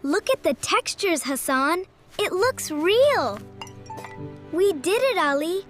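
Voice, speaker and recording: A woman's voice: very high voice, big pitch swings, slow, fairly bright tone, faint music behind, full character voice. A woman voicing an eager, kind-hearted schoolboy in a children's cartoon story, earnest and exclamatory. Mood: excited, encouraging. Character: excitable, eager, kind-hearted schoolboy building a science project.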